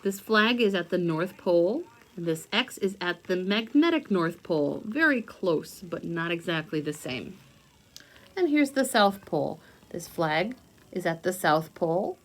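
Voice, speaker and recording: Slow-paced woman teaching young children. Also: on the low side, sing-song. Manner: simple, patient explaining with repetition and questions to children. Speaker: teacher reading a picture book aloud to young children. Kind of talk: read-aloud lesson with explanatory asides for children.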